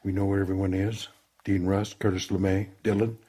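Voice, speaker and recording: An actor playing a suspicious, authoritative middle-aged Vice President in an audio drama, probing and weighty. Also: male voice, low voice, little pitch movement, a little fast, warm tone, slightly rough. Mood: wary, probing.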